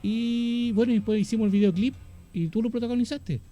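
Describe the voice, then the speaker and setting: A man with a Chilean accent hosting a radio show, telling lively anecdotes in casual chat and laughing often. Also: extremely high pitch, a little fast, dull audio, faint music behind.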